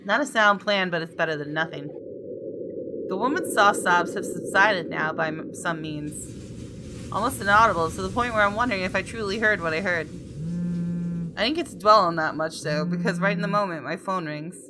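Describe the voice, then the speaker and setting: A woman's voice: on the low side, normal speed, background noise. A woman game streamer reading visual novel text aloud, dramatically and with playful outbursts. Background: game music with guitar.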